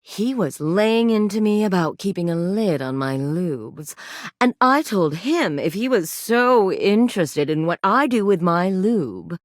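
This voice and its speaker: Saucy, unhurried, lowish-voiced woman. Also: no room sound, clean studio sound. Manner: suggestive, playful, unhurried. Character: saucy, teasing, shameless adult woman game character. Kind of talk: video game character dialogue.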